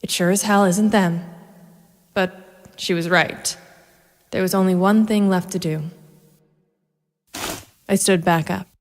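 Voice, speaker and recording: An actress playing a grim, vengeful female private investigator, narrating a noir audio drama in a hardboiled, deliberate, brooding manner. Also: lowish voice, fairly even intonation.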